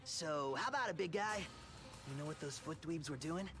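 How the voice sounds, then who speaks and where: A man's voice: a little high, moderate pace, over music, close to an everyday voice. A man voicing a goofy, laid-back teenage mutant turtle with slangy surfer-dude talk in a video game cartoon. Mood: nervous, friendly.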